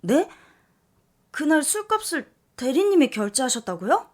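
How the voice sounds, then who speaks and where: A woman voicing a flustered, apologetic young woman office worker who talks quickly and pitches up. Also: big pitch swings, a little mellow. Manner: flustered, high and urgent.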